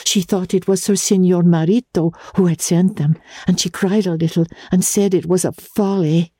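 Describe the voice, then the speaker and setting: A woman's voice: on the low side, steady tone, normal speed, warm tone, breathy edge, no echo, studio quality, voice pushed up. A woman voicing a simple, kindly Italian maidservant in a storybook reading, plain and good-natured. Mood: pleased.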